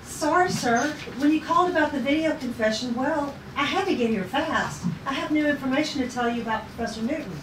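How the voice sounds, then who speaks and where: An earnest, feisty young woman navigator from a sci-fi script, acted out by a woman. Her voice sits fairly high, heard in an echoey room with clear noise around it. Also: a little fast, few pauses, natural voice. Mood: apologetic, earnest. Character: earnest, feisty twenty-four-year-old female navigator in sci-fi. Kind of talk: table read acting. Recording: noisy echoey room, distant mic.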